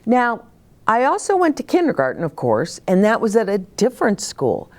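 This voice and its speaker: An older woman hosting a children's story time, speaking simply and expressively to young children as she shares stories and advice. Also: on the high side. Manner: simple, expressive, addressing children directly, with dramatic pauses.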